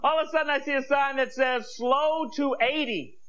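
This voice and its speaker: An American man in his thirties performing stand-up comedy on stage in an animated, exclaiming manner, speaking into a handheld microphone. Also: very high-pitched, normal speed. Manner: animated, exclaiming, acting out bits. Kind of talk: stand-up comedy routine to an audience. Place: comedy club stage with audience. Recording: muffled VHS TV recording, noisy audience. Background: audience noise and laughter.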